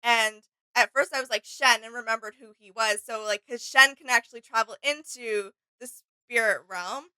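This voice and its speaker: Female co-host, slightly high and bright, relaxed conversational delivery, tentative and musing. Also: moderate pace, hardly any pauses, clear, resonant tone, no room sound, poor audio. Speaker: reaction channel co-host. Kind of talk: casual chat, discussing game character lore.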